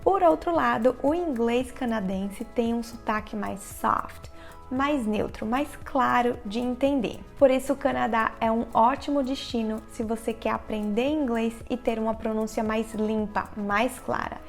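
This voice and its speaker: A woman with a Brazilian Portuguese accent explaining English listening tips directly to the viewer in a clear, instructional vlog narration. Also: on the high side, unhurried, faint music behind. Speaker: English teacher, channel host. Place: living in the United States.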